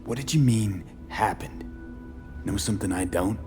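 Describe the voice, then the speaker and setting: A man's voice: lowish voice, normal speed, music in the background, natural voice. A man playing a blunt, guarded mercenary in a video game, talking in terse, clipped lines. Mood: guarded, impatient. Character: blunt, guarded male mercenary searching for a missing person. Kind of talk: scripted video game acting. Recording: faint ambient noise.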